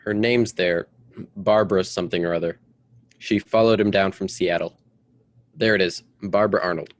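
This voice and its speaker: A man playing a stuffy, domineering politician husband in a radio melodrama, with a brisk, formal, patronizing manner. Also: sits low, steady tone, lightly acted. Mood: brisk, self-important. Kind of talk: old-time radio drama acting.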